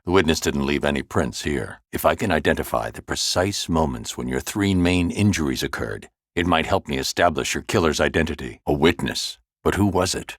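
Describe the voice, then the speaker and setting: A grim, brooding vigilante detective for a game, with a voice that sits extremely low and rumbles. He talks in a clipped, methodical way, recorded close and dry in a studio. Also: a bit bright, dry recording, heavily acted. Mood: grieving. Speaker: video game character. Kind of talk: game voice acting. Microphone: close studio mic.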